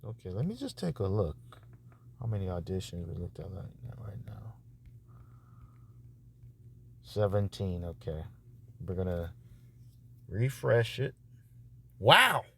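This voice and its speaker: A man with a fairly low voice gives casual, halting commentary. He mutters half to himself as he works, and there is clear noise in the recording. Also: sing-song, pushed and bright. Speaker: audiobook producer reviewing narrator auditions. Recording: clearly noisy background.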